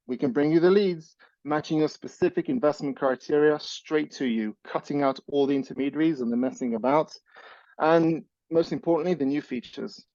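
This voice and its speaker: A man from Ireland giving an energetic, persuasive startup pitch over a video call, then answering questions. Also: no echo, lo-fi sound. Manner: energetic, persuasive, fast-talking with restarts. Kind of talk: business pitch and Q&A.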